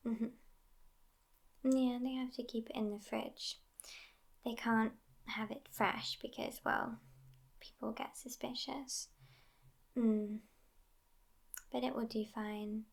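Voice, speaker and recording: A woman playing a sassy, aloof, youthful but centuries-old vampire girlfriend in a soft, near-whispered ASMR roleplay. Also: on the high side, fairly even intonation, takes it slowly, gravelly, long pauses, exaggerated voice. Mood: languid, sassy. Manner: languid, aloof, hushed, often near whispering.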